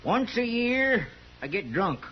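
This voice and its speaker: An actor playing a stubborn old prairie drifter with hesitant, folksy frontier speech in a 1950s radio Western. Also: male voice, high voice, very animated, takes it slowly, slightly rough, full character voice. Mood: wry, reserved. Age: older. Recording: dull, noisy 1950s radio recording.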